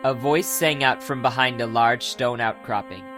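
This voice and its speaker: A man with a medium-pitched voice delivers scripted story narration. It is a fantasy audiobook, with music playing clearly beneath him. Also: fairly even intonation, moderate pace. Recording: loud music bed under the narration.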